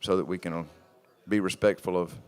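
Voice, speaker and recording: Man, very low voice, folksy moderator. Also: fairly even intonation, moderate pace, clean tone. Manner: polite, folksy. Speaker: meeting moderator. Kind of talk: addressing an audience.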